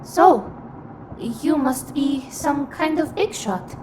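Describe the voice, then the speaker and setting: A woman voicing a young female quarian immigrant in an audio drama, guarded and sharp-tongued, with music underneath. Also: high voice, lively intonation, slow, background noise. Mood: curious. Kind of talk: fan audio drama acting. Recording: music behind the voice.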